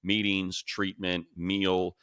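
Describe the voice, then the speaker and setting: A man's voice: low voice, flat delivery, takes it slowly, a bit bright, clean tone, no echo, clean studio sound. An American man co-hosting a sports talk show, explaining things in a casual, conversational way. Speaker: sports talk show host and play-by-play announcer.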